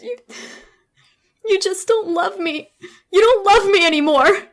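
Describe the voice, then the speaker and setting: Casting: adult woman for bitter teenage girl in radio drama; high voice, petulant, dramatic. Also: a little slow. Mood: hurt, accusing. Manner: sulky, accusing, dramatic. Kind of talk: old-time radio melodrama acting.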